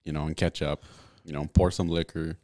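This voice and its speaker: Deep-voiced easygoing young man. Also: flat delivery, fairly quick, a little mellow, dry recording, clean studio sound. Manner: easygoing, self-assured. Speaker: podcast co-host. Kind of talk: casual chat.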